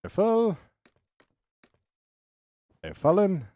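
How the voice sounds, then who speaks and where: A man in his thirties talking his way through a game build on a live stream, chatting to himself and his viewers. His pitch swings up and down a lot, but he takes his time, humming nonsense syllables and repeating words over and over. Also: wildly swooping pitch, drawn-out words, muffled recording. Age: 30s.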